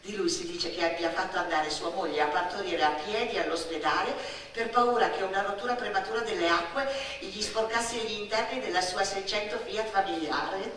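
A woman tells a theatrical, autobiographical story with wry, deliberate phrasing. Her voice is bright and forward. It sounds distant, in a very echoey room. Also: mid-range voice, slight background noise. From Turin, Italy. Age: 50s. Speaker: stage storyteller, actress.

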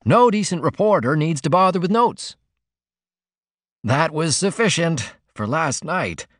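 A man's voice: on the high side, expressive, a little slow, no echo, studio quality, pitched above the performer's own voice. A man voicing a brash, self-important young cub reporter in a storybook reading, with an airy, eager manner. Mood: nervously boastful. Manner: eager, airy, indignant when crossed.

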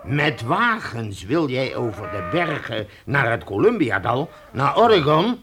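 A man's voice: mid-range voice, big pitch swings, measured pace, noisy background. An actor playing a hearty, domineering British trading-post chief in a radio drama, emphatic and chuckling as he warns settlers. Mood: incredulous, scoffing.

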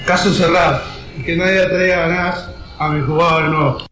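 A man's voice: fairly high pitch, fairly even intonation, a little fast, background noise, lightly acted. A teenage boy with an Argentine accent playing an exasperated judge in an amateur radio comedy sketch. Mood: exasperated, official. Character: exasperated judge mocking clownish bank robbers. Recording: echoey room, music behind.

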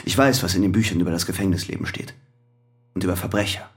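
An actor playing a weary, bitter prisoner in a radio drama. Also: male voice, deep voice, fast talker, natural voice. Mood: weary, defensive. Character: imprisoned man, weary and bitter. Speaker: prisoner Carter.

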